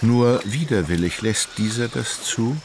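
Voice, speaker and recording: An actor playing a wry, detached literary narrator in a German radio play, speaking in a measured way. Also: male voice, medium pitch, heavy background noise, natural voice.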